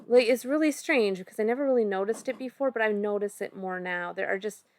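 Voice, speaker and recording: A woman's voice: medium pitch, fast talker. A woman in her thirties with a Minnesota accent, chatting casually at home while playing an online board game and thinking aloud through her moves. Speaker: streamer co-host. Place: home room, indoors.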